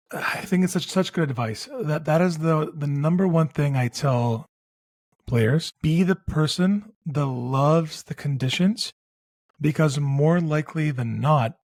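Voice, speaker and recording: Male podcast host, from Canada, chatty storyteller, a little higher-pitched. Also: a little mellow. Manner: chatty storyteller, frequent restarts. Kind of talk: casual interview chat.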